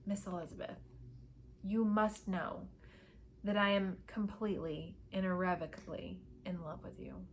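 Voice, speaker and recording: Woman acting as gentleman suitor, storybook romance, tender, earnest, formal, lovestruck, soft-spoken delivery. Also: medium pitch, fairly even intonation, measured pace, slight background noise, pitched below the performer's own voice, lightly acted.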